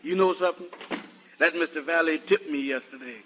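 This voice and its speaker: An actor playing a teasing studio worker in 1940s radio comedy, with animated, exclamatory back-talk. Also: male voice, fairly high pitch, brisk, highs cut off, close to an everyday voice. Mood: cheerful, teasing. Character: cheerful, teasing male studio worker, comic sidekick. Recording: noisy, clicking 1940s radio recording.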